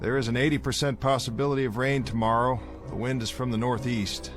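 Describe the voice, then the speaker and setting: An actor playing a stern, officious messenger to survivors, speaking formally as if making announcements in a radio drama. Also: male voice, medium pitch, steady tone, a little fast, faint music behind, natural voice. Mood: stern, official.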